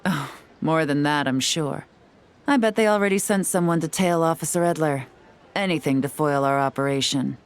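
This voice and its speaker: A woman's voice: low voice, normal speed, a little hiss or hum, natural voice. An actress playing a cool, composed woman investigator in a video game, articulate, wry and sly in a businesslike way. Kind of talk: scripted video game dialogue.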